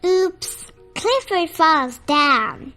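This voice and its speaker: A child host reads a storybook aloud in a very high voice. The delivery is slow and measured, as read-aloud narration for young listeners. The voice rings with long reverb, and music plays along. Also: lively intonation, pushed and bright. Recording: reverberant hall sound with music.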